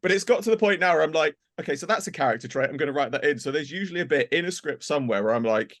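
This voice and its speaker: A man's voice: on the high side, animated, rapid-fire, a bit bright, no echo, clean studio sound. A man chatting casually in a group as an audio drama creator, rambling and acting out little quoted asides as he tells stories. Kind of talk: casual group chat, behind-the-scenes reminiscing.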